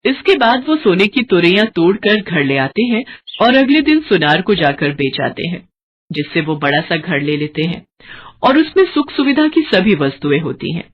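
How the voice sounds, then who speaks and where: Expressive woman storyteller with lively intonation. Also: fairly low pitch, normal speed, dull audio. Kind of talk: scripted narration of a children's moral story.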